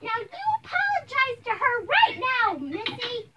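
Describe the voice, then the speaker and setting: Shrill, exasperated strict mother, acted by a woman. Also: wildly swooping pitch, takes it slowly, far from the mic, highs cut off, heavily acted. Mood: shocked, cross. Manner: exclaiming, bossy. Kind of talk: improvised amateur role-play.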